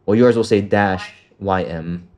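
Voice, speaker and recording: A man's voice: deep voice, unhurried, darkish tone. Laid-back man walking someone through setup. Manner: loose, filler-heavy, swears casually. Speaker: trading coach. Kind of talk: casual walkthrough on a call.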